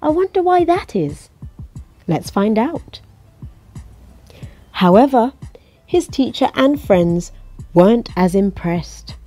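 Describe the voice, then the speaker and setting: Slow, lively woman reading a children's storybook. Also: a little high, no room sound, soft background music. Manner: expressive, coaxing, asks the listener questions. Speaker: storybook reader. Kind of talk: children's picture-book read-aloud.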